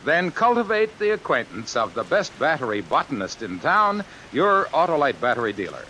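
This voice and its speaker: A man's voice: mid-range voice, very animated, normal speed, bright, forceful tone, rough recording. A man playing a chipper, polished salesman in a 1950s radio advertisement. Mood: chipper, selling. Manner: peppy, emphatic, polished. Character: chipper, punning salesman pitching car batteries. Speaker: commercial announcer. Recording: hissy old radio recording under rain sounds.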